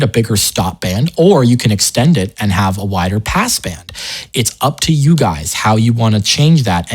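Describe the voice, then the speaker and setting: A man narrating a software tutorial in a casual, informal way, explaining each step as the host. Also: on the low side.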